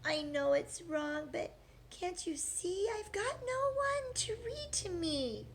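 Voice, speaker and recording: A woman's voice: very high-pitched, sing-song, takes it slowly, a little hiss or hum, pitched above the performer's own voice, exaggerated voice. A woman voicing a small, sad male creature who snatches books, speaking meekly and mournfully in a storybook reading. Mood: pleading. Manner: meek and mournful.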